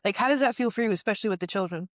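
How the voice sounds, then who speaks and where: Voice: mid-range voice, no echo. Casual interviewer, fumbling for phrasing, dry close recording. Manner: halting, searching for words, many restarts.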